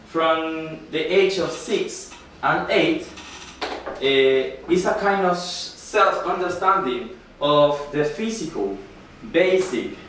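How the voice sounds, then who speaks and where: A man from Ecuador with a Spanish accent gives an informal classroom presentation in halting, non-native English, often checking with a short tag question. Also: a little high, a bit bright. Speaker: student presenter, football coach. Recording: very echoey room, distant mic, noisy.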